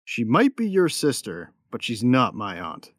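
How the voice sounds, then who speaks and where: A man's voice: mid-range voice, wildly swooping pitch, unhurried, dry recording, studio quality. A man playing a tough young gunfighter and protective big brother in a sci-fi audio drama, matter-of-fact and wry. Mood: terse. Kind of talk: first-person narration and dialogue in sci-fi audio drama.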